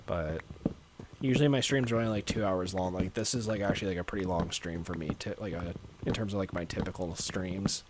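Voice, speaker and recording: A man's voice: fairly quick, background noise. A young man with a North American accent streaming a game and chatting casually, rambling with lots of "like" and easy laughs. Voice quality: low natural voice, chill voiceover tone. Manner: rambling, many "like" fillers, laughs often. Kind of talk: casual livestream chat.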